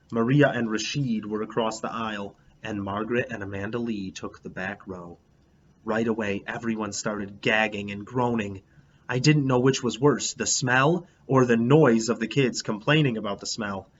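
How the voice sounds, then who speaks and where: A man reading a children's storybook aloud as a teacher, in an expressive, animated, emphatic way. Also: mid-range voice, muffled recording, slight background noise. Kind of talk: expressive storybook read-aloud in a child narrator's first person.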